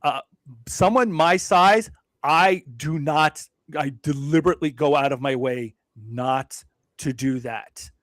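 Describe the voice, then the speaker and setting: A man from Brooklyn talking as a podcast guest, measured and self-deprecating, with frequent hedges and restarts. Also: on the high side, fairly bright tone, dry recording. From Brooklyn, New York. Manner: frequent hedging fillers and restarts. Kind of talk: casual interview conversation.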